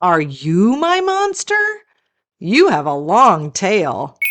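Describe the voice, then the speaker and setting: A woman reading a picture book aloud to children as a storytime host, in a deliberate storyteller's delivery. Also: on the low side, noisy background.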